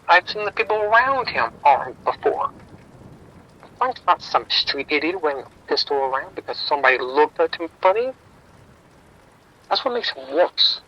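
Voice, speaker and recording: A man's voice: fairly high pitch, pushed and bright, lo-fi sound, heavily acted. An actor playing a streetwise male bookie informant, wry and defensive, talking around the point in an audio drama.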